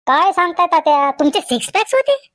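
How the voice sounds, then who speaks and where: Woman playing very high-pitched cheeky village boy. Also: very animated, fairly quick, a bit bright, dry recording, clean studio sound, full character voice. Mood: teasing amazement. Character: cheeky, curious, gullible village youngster Pappya, a boy. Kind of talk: comedy cartoon dialogue.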